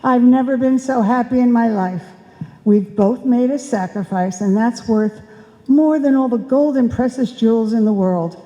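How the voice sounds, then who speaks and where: Casting: female actor as sentimental, self-sacrificing wife for old-time radio comedy, soft dark tone, muffled live hall recording. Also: fairly high pitch, normal speed, natural voice. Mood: loving. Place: indoor hall stage, live performance. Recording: dull sound in a reverberant hall.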